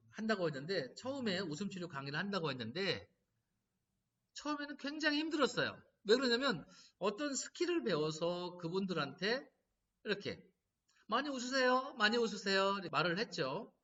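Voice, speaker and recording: A middle-aged man giving a laughter therapy lecture to caregivers, telling stories and acting out quotes. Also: high-pitched. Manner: lively storytelling, animated quoting. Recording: distant mic, dull lo-fi sound.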